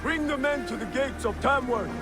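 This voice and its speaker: An actor playing a grim, vengeful Viking commander giving war orders in a video game. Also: male voice, extremely high pitch, normal speed, over loud music. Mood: ominous, rallying. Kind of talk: video game dramatic dialogue.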